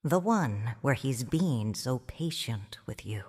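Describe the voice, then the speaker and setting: A woman narrating in first person as a grieving widowed noble mother in a fan audio drama, quiet and restrained. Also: very deep voice, lively intonation, husky, dry recording, clean studio sound, exaggerated voice. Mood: sorrowful. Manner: slow, hushed storytelling.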